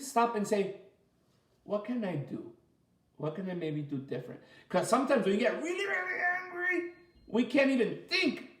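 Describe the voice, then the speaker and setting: A man leading a children's story time as a teacher, reading aloud and asking the listeners simple questions in an animated way. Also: sits high. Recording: roomy, echoey sound.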